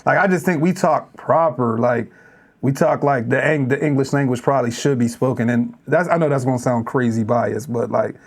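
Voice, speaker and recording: A man's voice: mid-range voice, normal speed, creaky. A man from Pittsburgh with a Pittsburgh accent, chatting as a guest rapper on a podcast and mimicking how other cities talk. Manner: animated storyteller who mimics other cities' speech. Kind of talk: casual podcast conversation.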